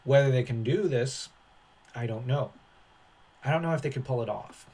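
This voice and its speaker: A man speaks at a middling pitch in the loose style of an online commentator. He rambles and halts as he works through his opinions. His manner is dismissive and dryly sarcastic. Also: normal speed, a little mellow, breathy edge. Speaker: commentary channel host.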